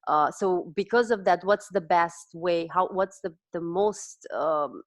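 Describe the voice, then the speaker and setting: Interview host: woman, 40s, Romanian accent, lower-pitched voice, thoughtful and halting delivery, dry recording. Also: no room sound. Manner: thoughtful, searching for words with frequent restarts. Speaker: host, dietitian. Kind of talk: conversational interview.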